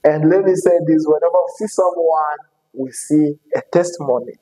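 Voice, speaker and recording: A man hosting an interview, his voice a touch higher than most and mellow in tone. He speaks non-native English with many fillers. A long reverb trails each phrase, as in a hall. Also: moderate pace. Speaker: show host. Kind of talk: casual interview.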